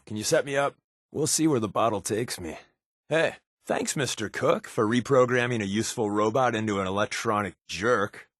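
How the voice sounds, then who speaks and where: An actor playing a sardonic, wisecracking undercover resistance agent in a video game, with a casual, slangy, quipping delivery. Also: male voice, mid-range voice, a bit bright, no echo, clean studio sound. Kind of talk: video game character voice lines.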